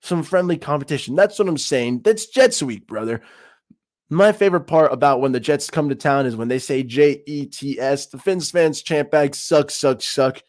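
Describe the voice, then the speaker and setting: A man's voice: fairly high pitch, expressive, normal speed, no echo, clean studio sound. A man in his thirties from Peru, hosting a live-stream sports talk show with hyped, trash-talking banter. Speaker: podcast host.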